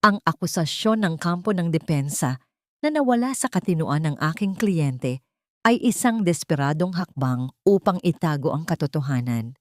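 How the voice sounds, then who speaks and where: A woman voicing a calm, incisive male lawyer who addresses a court formally and firmly in a story. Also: low-pitched, moderate pace, no echo.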